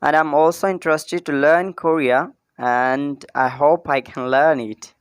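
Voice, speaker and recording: A man from Pakistan, a guest in a casual conversation, explaining things in a plain, steady way. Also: on the high side, unhurried, clear, resonant tone, dry recording, studio quality. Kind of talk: casual chat.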